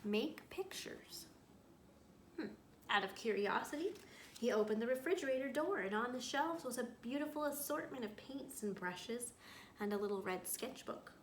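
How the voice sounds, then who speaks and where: A woman with a fairly high voice reads a picture book to children as a school librarian. She tells it expressively and turns to her listeners with small asides. The room echoes around her and there is some background noise. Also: animated, moderate pace. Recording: roomy echo, clear background noise.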